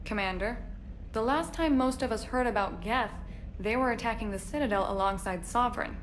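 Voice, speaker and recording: A woman playing a brisk war reporter in a video game, putting pointed, professional questions. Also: a little high, slight background noise, close to an everyday voice. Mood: probing.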